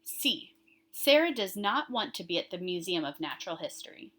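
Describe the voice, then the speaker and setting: A woman's voice: lowish voice, very animated, moderate pace, a bit bright. Woman teacher reading questions to pupils. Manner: instructive, addresses children directly, emphasizes key words. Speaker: elementary school teacher.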